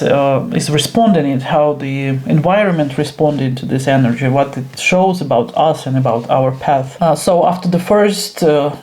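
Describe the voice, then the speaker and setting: A woman's voice: low-pitched, warm tone. A woman whose first language is Russian speaks English with a Russian accent, talking nervously and hesitantly in a personal vlog from her work room at home. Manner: nervous, hesitant, frequent pauses. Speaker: esoteric teacher.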